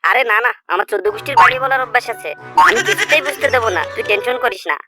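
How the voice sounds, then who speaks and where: A woman's voice: fairly high pitch, expressive, racing, over music, lightly acted. A woman voicing a cocky, greedy young male friend who boastfully rattles off exaggerations in a comedy cartoon.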